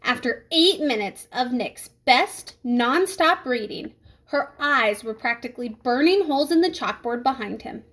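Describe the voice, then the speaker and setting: A woman narrating a children's novel aloud as a storybook reader, with expressive scripted delivery. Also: sits high, unhurried.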